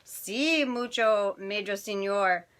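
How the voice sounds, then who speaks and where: A woman's voice: medium pitch, takes it slowly, fairly bright tone. A woman voicing playful, bossy little male Chihuahuas in a storybook, theatrical and sprinkled with mock Spanish. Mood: bossy, urging.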